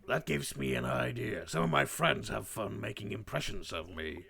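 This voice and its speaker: A Norwegian man chatting casually on a gaming stream with dry, deadpan remarks. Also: lowish voice, moderate pace, vocal fry. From Norway. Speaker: streamer playing a dwarf persona.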